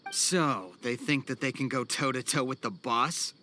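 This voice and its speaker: An actor playing a scornful, menacing mercenary and shady dealer in a video game, with a sneering, boastful manner. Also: male voice, medium pitch, a little slow, sharp tone, slight background noise. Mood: scornful, vengeful. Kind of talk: video game cutscene acting.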